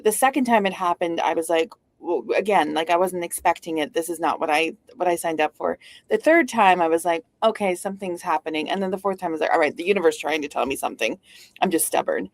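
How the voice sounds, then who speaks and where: A woman's voice: fairly low pitch, no room sound. A middle-aged woman from Canada, a company founder chatting casually in an interview over a video call, witty and storytelling. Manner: witty, storytelling, uses "like" often. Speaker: guest speaker, founder.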